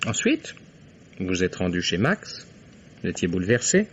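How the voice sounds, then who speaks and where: A man's voice: sits low, huge pitch swings, normal speed. An actor playing a young male investigator in an old French radio mystery, formal, methodical and pointedly accusing. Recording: dull, noisy vintage radio recording.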